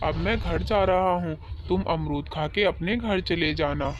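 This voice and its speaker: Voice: mid-range voice, measured pace, dull audio, slight background noise, natural voice. A performer voicing an adult cartoon bird in a children's story, with plain, declarative delivery. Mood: friendly. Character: cartoon birds: pigeon farmer, crow swindler, uncle. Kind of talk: Hindi animated children's story dialogue.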